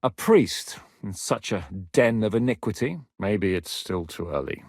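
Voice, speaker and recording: An actor playing a battle-hardened medieval rebel commander in a video game, gruff and dry-humoured, with a blunt, commanding, sardonic manner. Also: male voice, lowish voice, expressive, a little slow, a little mellow, dry recording. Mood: calm, dry. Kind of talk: dramatic video game character acting.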